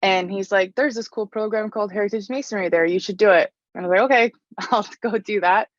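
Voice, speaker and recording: A woman's voice: medium pitch, brisk, no room sound. Chatty young woman, dry dull sound. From Canada. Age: young adult. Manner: chatty, frequent "like" fillers. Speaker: interview guest, stone mason. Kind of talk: casual interview answers.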